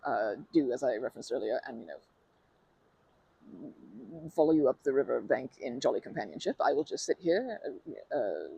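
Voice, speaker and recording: A woman's voice: extremely low pitch, big pitch swings, moderate pace, creaky, warm tone, breathy edge, no echo, heavily acted, rough recording. A woman voicing a polite, awkwardly formal stranger in an ASMR roleplay, rambling with many fillers and self-corrections. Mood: shy rambling.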